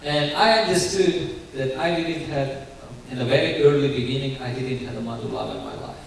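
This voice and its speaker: A young man with a Ukrainian accent giving his church testimony in earnest, halting English full of restarts. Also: medium pitch, gravelly. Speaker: guest speaker giving testimony. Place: church sanctuary. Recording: very echoey church hall, distant mic, faint hiss.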